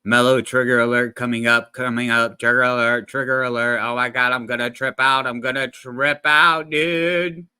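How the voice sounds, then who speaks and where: A man's voice: medium pitch, little pitch movement, moderate pace, hardly any pauses, sharp tone, clean tone, no room sound. Slangy rambling man on a dry mic. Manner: slangy, rambling, many restarts and fillers. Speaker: reaction channel host. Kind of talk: casual reaction commentary. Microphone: desk microphone, sometimes peaking.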